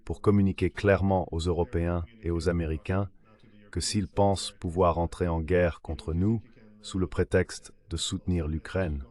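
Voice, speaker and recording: A man's synthetic AI voice giving a translated political analysis in a measured, formal read-out manner. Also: deep voice, steady tone, darkish tone. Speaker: translation voice-over of an interview guest.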